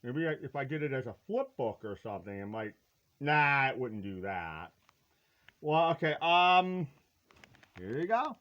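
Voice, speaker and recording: A man presenting a retro collectible in casual, rambling, halting chat, with a playful, hammy, folksy delivery and drawn-out words. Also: medium pitch, big pitch swings, fairly bright tone, lo-fi sound.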